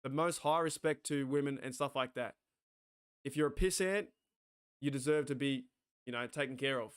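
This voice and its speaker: An Australian man hosting a sports watch party stream, rambling excitably, swearing and talking back to his chat. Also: mid-range voice, normal speed, smooth. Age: 40s.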